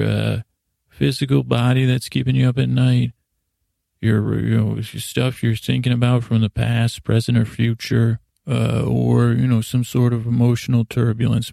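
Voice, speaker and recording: Very creaky, lulling male podcast host. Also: medium pitch, warm tone, no echo, clean studio sound. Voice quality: creaky, gravelly. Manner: hesitant, meandering, repeats and trails off; lulling, soothing delivery. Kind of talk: rambling bedtime-story podcast monologue.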